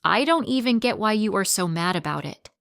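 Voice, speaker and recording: A woman's voice: medium pitch, expressive, a little fast, no room sound, clean studio sound. A woman reading the lines of a young husband who argues back firmly and defensively. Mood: exasperated. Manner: firm, yelling back.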